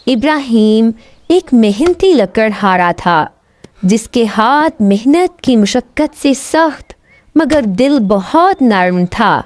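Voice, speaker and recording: High-pitched, lively woman storyteller. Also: dry recording. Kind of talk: scripted fairy tale narration.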